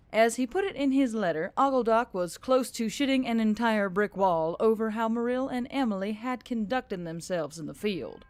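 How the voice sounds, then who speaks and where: A woman reading a scripted story as a narrator. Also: mid-range voice. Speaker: audiobook-style narrator.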